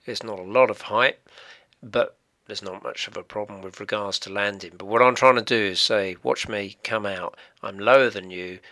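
A man from England, a paragliding instructor, giving a voice-over commentary on flight footage in an explanatory, brisk way, his voice trailing off at the ends of phrases. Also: lowish voice, pushed and bright.

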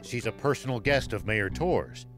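An actor playing a weary, noble old former general in a video game, speaking in a measured, formal and gravely earnest way. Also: male voice, medium pitch, animated, fairly bright tone, faint music behind, close to an everyday voice. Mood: grave, sincere. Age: older.

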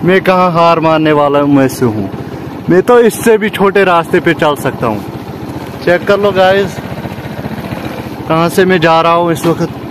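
High-voiced young man vlogging outdoors. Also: unhurried, long pauses. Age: 20s. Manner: chatty, repeats phrases for emphasis. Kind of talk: casual outdoor vlog addressed to viewers. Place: outdoors on village roads, paths and by water. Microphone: handheld phone at arm's length. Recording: noisy outdoor phone recording.

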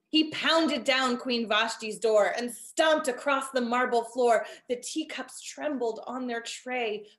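Slow, measured woman storyteller, higher voice. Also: a bit bright. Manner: measured, deliberate storybook delivery. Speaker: storyteller and author reading her picture book. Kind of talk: expressive rhyming children's storybook narration. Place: indoor room at home, on a video call. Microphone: computer video call.

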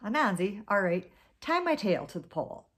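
A woman's voice: mid-range voice, big pitch swings, moderate pace, fairly bright tone, husky. A woman voicing a proud, easily offended male snake who speaks in a boastful, indignant way.

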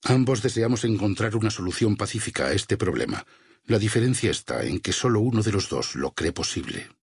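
Very husky, grave warrior preacher. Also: male voice, fairly low pitch, expressive, no room sound, studio quality, exaggerated voice. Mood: solemn, guarded. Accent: Castilian Spanish. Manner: solemn, measured, sermon-like persuasion. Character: grave, devout male warrior preacher, resolute and vengeful. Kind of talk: dramatic video game dialogue.

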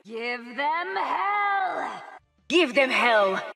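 An actress voicing a fierce, confident fighting heroine in a video game, with commanding, dramatic battle cries. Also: female voice, high voice, big pitch swings, extremely slow, gravelly, pushed and bright, full character voice. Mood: fierce, rousing. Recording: reverberant, faint music behind.

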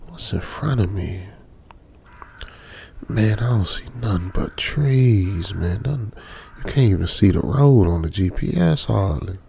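Guy playing young american trucker stranded alone, slow low voice, muttering uneasily, radio drama w noisy background. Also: lively intonation, creaky, warm tone. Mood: puzzled, thinking. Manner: muttering to himself, colloquial, repeating phrases. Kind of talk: old-school radio drama monologue, improvised feel.

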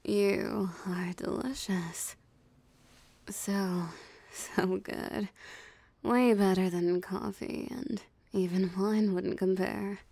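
A woman voicing a possessive, teasing female vampire in an ASMR roleplay, with a breathy, half-whispered, sultry and taunting delivery. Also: mid-range voice, steady tone, extremely slow, warm tone, dry recording, heavily acted. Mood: savoring, possessive. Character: possessive, teasing female vampire savoring her captured prey. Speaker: voice actor.

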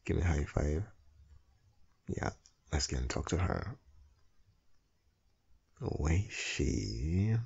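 A man playing an affectionate, playful husband and doting father in an intimate ASMR audio roleplay, with a husky, soothing delivery. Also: very animated, takes it slowly, long pauses, darkish tone, muffled recording, exaggerated voice. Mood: tender amusement. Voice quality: low, gravelly, husky.

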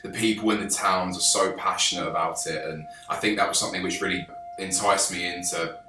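Young english guy, low voice, keen and passionate, kinda roomy sound with music under it. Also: sharp tone, distant mic. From England. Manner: enthusiastic, gestures with his hands, many restarts. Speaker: film director pitching a crowdfunding campaign. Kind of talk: earnest to-camera pitch. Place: small office, indoors. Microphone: camera a few feet away.

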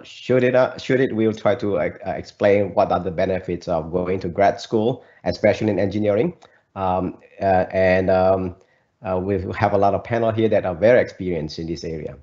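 A man's voice: deep voice, a little mellow. A middle-aged man introducing himself as a faculty advisor on a video call, hesitant with many fillers. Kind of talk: panel self-introduction. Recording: dull lo-fi video-call audio.

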